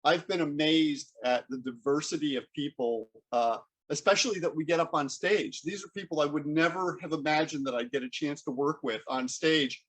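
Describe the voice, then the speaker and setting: A man hosting a conversational interview, speaking in a halting way and rephrasing as he goes. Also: on the high side, fairly bright tone, slightly rough, low-quality recording. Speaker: interview host.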